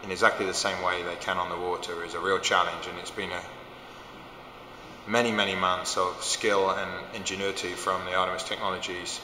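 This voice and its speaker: Male exec, 40s, low flat delivery, crisp edge, roomy hall echo, faint hum. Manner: measured, explanatory, with fillers. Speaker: company chief executive. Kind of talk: corporate video interview. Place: large indoor simulator hall.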